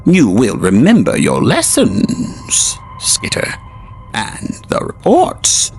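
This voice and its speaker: A man's voice: wildly swooping pitch, slow pace, gravelly, faint music behind, heavily acted. A man voicing a scornful ancient male demon, with a whispery, sneering delivery. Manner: whispery, sneering, swings high and low.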